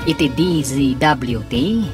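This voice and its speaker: A woman's voice: very deep voice, takes it slowly. A woman with an Ilocano accent announcing radio drama credits from a script. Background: prominent music.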